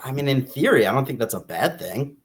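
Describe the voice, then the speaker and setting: An American man talks casually as a podcast co-host discussing a book. He speaks in a conversational, halting way, often circling back to rephrase what he means. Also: mid-range voice, big pitch swings.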